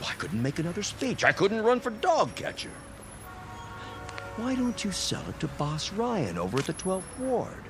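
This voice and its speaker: A man's voice: sits high, sing-song, normal speed, music in the background. A man playing a folksy, wily local politician in an audio drama, blustering and full of old-fashioned slang. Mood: animated, scheming. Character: folksy, wily local politician haggling over a used car.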